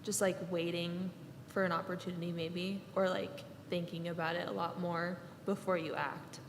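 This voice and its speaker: A woman in her twenties, a guest on a podcast, answering in a measured, thoughtful way with small pauses. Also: lowish voice, flat delivery, a little hiss or hum. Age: 20s. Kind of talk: casual podcast conversation. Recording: echoey room with long reverb and faint ambience.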